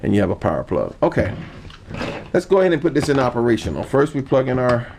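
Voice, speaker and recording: A man giving an informal how-to demonstration, explaining step by step in a halting manner. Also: medium pitch, big pitch swings, vocal fry, a little mellow, slight background noise. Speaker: hobbyist demonstrator. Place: indoor room.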